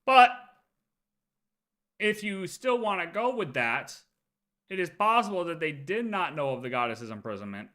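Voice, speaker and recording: A man's voice: high voice, very animated, moderate pace, pauses often, sharp tone. A man doing casual live commentary as a streamer, arguing emphatically and repeating his points. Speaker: livestream host reacting to game lore.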